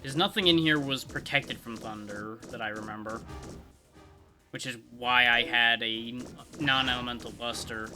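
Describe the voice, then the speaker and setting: Man livestreaming over clear game music. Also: mid-range voice, moderate pace, pushed and bright. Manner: thinks aloud, explains game mechanics conversationally. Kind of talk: casual live gameplay commentary. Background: video game music.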